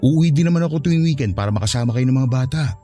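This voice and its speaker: An actor playing an easygoing husband in a radio drama, reassuring and conciliatory. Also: male voice, medium pitch, animated, very fast, dark tone, faint music behind, close to an everyday voice. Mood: placating. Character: easygoing, placating young husband and dutiful son. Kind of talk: Filipino radio drama acting.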